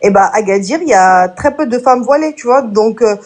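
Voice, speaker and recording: A woman's voice: fairly high pitch, lively intonation, a little fast, a little mellow. A woman joining a street discussion casually, chatty and full of fillers. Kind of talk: casual conversation.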